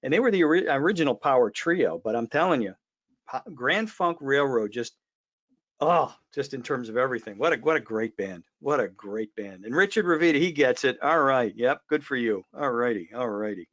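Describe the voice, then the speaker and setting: A man's voice: mid-range voice, lively intonation, brisk, a bit bright. A man hosting a casual live trade chat, informal and fond of repeating his phrases as he reads out viewer comments. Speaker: host of a trade webinar. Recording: dull lo-fi online call audio.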